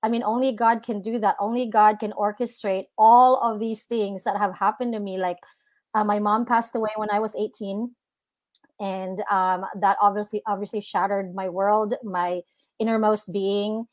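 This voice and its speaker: A woman's voice: mid-range voice, steady tone, normal speed, dry recording. Woman, thirties, earnest testimony, lots of fillers, dry close sound. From Philippines. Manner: earnest, heavy use of "like" and "you know". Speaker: guest minister. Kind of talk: casual personal testimony.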